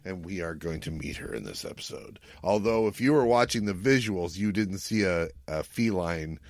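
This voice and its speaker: A man co-hosting a podcast, chatting casually and retelling a story in a jokey, animated way with acted-out quotes. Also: low-pitched, normal speed, no echo, clean studio sound. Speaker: podcast co-host. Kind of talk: casual recap chat.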